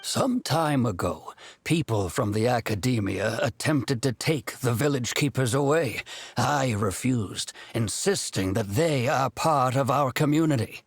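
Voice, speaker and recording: An actor playing a grave, thoughtful older village leader in a video game, serious and deliberate. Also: male voice, medium pitch, animated, husky, dry recording.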